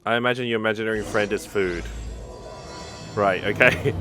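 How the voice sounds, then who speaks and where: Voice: medium pitch, moderate pace, over music. A gamer giving casual commentary on a playthrough in muttered asides.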